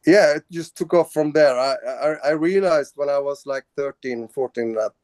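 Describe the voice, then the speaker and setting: A man's voice: a little high, moderate pace, a little mellow, no echo, studio quality. A man from Sweden, a band's singer, answering interview questions casually, with many fillers and restarts. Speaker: band vocalist.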